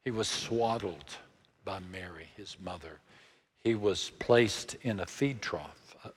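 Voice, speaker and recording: A man in his sixties with a Texan accent, preaching a sermon in a slow, measured way that sinks to hushed, near-whispered lines. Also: fairly low pitch, breathy edge, in a large hall. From Texas. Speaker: pastor.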